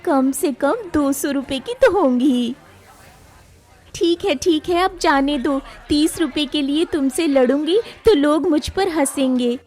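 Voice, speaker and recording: Woman voicing a haggling village woman, high-pitched, brusque, dismissive, cartoon. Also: a little slow, darkish tone.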